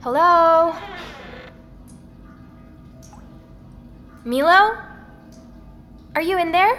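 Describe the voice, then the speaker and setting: A woman's voice: very high voice, animated, slow, pushed and bright, faint music behind, full character voice. An actress playing a curious, polite woman investigator in a naturally spoken audio drama. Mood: nervous, apologetic. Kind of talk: audio drama, intimate narration and natural dialogue.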